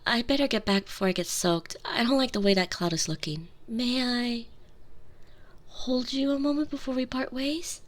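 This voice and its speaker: A woman playing a sweet, wry young princess in love, in an intimate, soft ASMR roleplay style. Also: fairly high pitch, moderate pace, natural voice. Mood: tender parting. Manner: soft, tender, playful, breaking into whispers.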